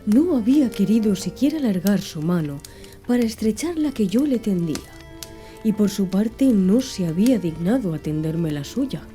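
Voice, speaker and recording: A woman narrating a classic novel in a hushed ASMR voice, very dark and mellow, unhurried delivery. Her pitch sits in an ordinary middle range. Faint music plays softly beneath her. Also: expressive. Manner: soft, slow, hushed reading. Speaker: audiobook narrator. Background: faint background music.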